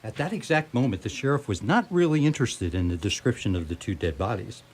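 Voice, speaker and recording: A man's voice: on the low side, animated, fast, a little mellow, a little hiss or hum. A man narrating a scripted radio drama as storyteller.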